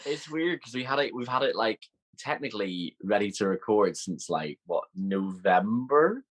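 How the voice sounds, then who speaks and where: A man's voice: fairly low pitch, lively intonation, moderate pace. An Irish man, a voice actor, chatting casually in a sweary, self-mocking way. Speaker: voice actor, podcast guest. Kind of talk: casual banter.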